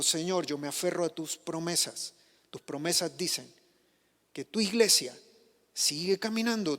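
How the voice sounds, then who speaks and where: A man from Chile, a pastor, preaching a sermon, pushing his voice up on questions and sinking it low to drive points home. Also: big-room sound. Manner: passionate preaching, rising on questions and dropping low for emphasis. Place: church.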